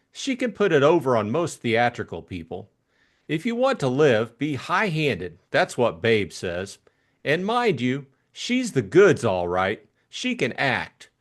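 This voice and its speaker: A man's voice: mid-range voice, huge pitch swings, unhurried. A man voicing a chatty, eager, simple-hearted young upper-class Englishman in an audiobook, gushing and rambling in slangy talk. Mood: enthusiastic rambling. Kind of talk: audiobook character acting.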